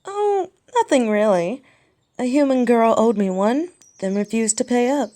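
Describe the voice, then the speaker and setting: A woman's voice: fairly high pitch, lively intonation, unhurried, dark tone. A woman playing a haughty, mocking dragon princess, a destructive little sister, in an ASMR audio drama. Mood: mock innocent. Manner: sarcastic, drawling, taunting.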